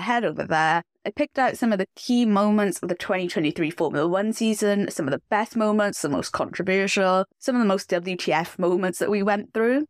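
A woman hosting a solo sports podcast, enthusiastic and emphatic, her voice slightly rough from a cough and cold. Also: medium pitch, no echo, clean studio sound. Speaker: podcast host.

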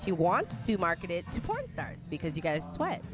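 A woman's voice: on the low side, huge pitch swings, moderate pace, over music. A woman in her thirties hosting a podcast, chatting casually, bubbly and blunt, laughing often. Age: 30s. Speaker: podcast host. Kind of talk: casual chat.

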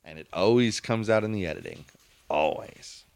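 Low-voiced man, emphatic and argumentative. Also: moderate pace, darkish tone, clean tone. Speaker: podcast co-host. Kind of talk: casual opinionated chat.

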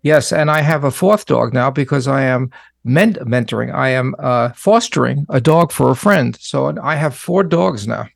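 A man co-hosting a radio talk show, talking in a relaxed, halting way with plenty of fillers. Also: medium pitch, creaky, no room sound, studio quality.

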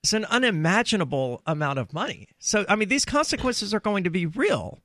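Man, radio host, opinionated, sarcastic, high voice, dry close studio mic. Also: sing-song, fairly quick, fairly bright tone, no room sound. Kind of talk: opinionated talk radio chat.

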